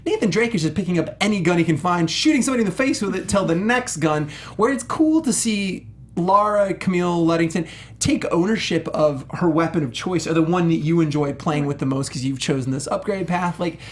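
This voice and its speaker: A man's voice: high-pitched, expressive, brisk. A man co-hosting a casual discussion show, talking enthusiastically and emphatically, often saying "like". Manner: enthusiastic, emphatic, heavy use of "like". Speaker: panel show co-host. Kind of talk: casual film and game discussion.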